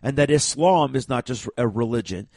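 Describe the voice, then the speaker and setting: A man with a raspy voice from a cold, hosting a program and talking forcefully to viewers, with heavy stress and stammered restarts. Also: mid-range voice, normal speed, no room sound, studio quality. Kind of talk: emphatic monologue to viewers.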